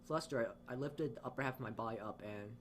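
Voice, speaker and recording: Man reading game narration, mid-pitched. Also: quick pace, a little hiss or hum. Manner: stumbles over words, rereading phrases. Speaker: let's-player reading aloud. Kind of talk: reading visual novel narration aloud.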